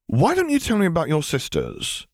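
A reserved naval officer in middle age, voiced by a male reader for an audiobook. He speaks formally and politely, pitched somewhat above the reader's narration, in a dry recording. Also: wildly swooping pitch, moderate pace, no room sound. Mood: politely curious. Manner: formal, clipped, polite phrasing.

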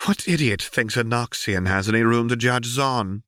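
A man's voice: medium pitch, moderate pace, clear, resonant tone, no room sound, studio quality, pitched above the performer's own voice, natural voice. A man voicing a hot-tempered, contemptuous male crime baron in an audiobook. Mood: snarling. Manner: contemptuous, forceful.

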